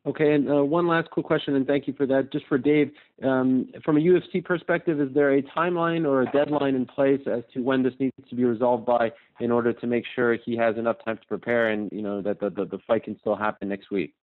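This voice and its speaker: A man's voice: mid-range voice, steady tone, brisk, hardly any pauses, narrow, tinny audio. A man working as a journalist, asking questions on a press conference call over the phone.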